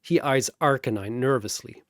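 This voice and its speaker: A man narrating a scripted comic fantasy battle in a theatrical, deadpan manner. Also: mid-range voice, moderate pace, no room sound, clean studio sound.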